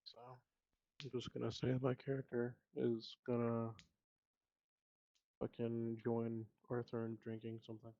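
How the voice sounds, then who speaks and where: A man's voice: mid-range voice, completely flat delivery, measured pace, pauses often, dry recording, highs cut off. A man playing a tabletop roleplaying game, chatting casually and jokingly about his character.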